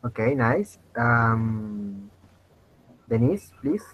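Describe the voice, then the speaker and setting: A man in his twenties with a Venezuelan Spanish accent, leading an online English practice chat in halting, restarting English. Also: medium pitch, animated. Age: 20s. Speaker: chat moderator. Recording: dull lo-fi voice call with faint noise.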